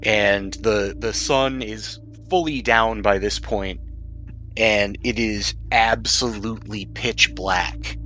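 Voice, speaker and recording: Low-voiced male game master, music behind. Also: slow, bright, forceful tone. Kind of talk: tabletop game narration and rulings.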